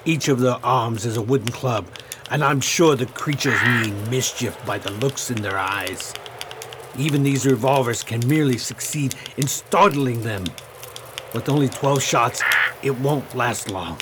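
A man's voice: mid-range voice, unhurried, background noise, lightly acted. A man playing a genteel, brave old stage magician in a radio play, with a formal, avuncular delivery. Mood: resolute.

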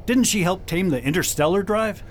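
A man plays a hot-tempered officer in a radio drama. His fairly high voice jumps about as he blusters impatiently, over faint background ambience. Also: expressive, normal speed, slight background noise. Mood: irritated, blustering. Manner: gruff, impatient, exclaiming. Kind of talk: science fiction radio drama acting.

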